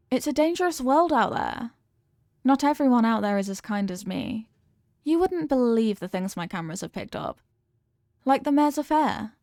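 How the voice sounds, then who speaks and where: A high-voiced, adorkable yandere girlfriend, played by a woman in a comedic ASMR-style roleplay. She is sweet and doting but possessive, rambling earnestly straight to the listener in a close, dry recording. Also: a little mellow, dry recording. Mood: protective, insistent, then excited.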